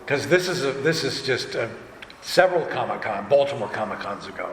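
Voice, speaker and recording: A man speaking casually as an audio drama director on a panel, telling stories in an animated way and acting out quoted remarks. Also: mid-range voice, brisk. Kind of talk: casual panel talk with anecdotes. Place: large indoor convention panel room. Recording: echoey convention hall with background noise.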